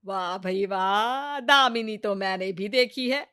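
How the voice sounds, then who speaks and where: A woman playing a sharp-tongued, taunting mother-in-law in a Hindi story cartoon, with a sarcastic, nagging, theatrical delivery. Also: medium pitch, slow, clean tone. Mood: mocking, taunting.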